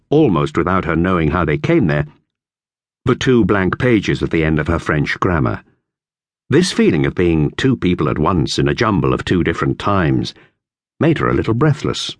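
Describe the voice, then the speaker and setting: A man narrates a classic children's book in a low voice with lively, expressive intonation. His storytelling is measured, and the recording is close and dry. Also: no echo. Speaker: audiobook narrator.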